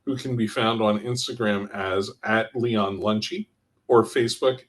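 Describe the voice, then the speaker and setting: A man with a fairly low voice serves as credits announcer. He reads from a script in the style of narration. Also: fairly even intonation, a little slow.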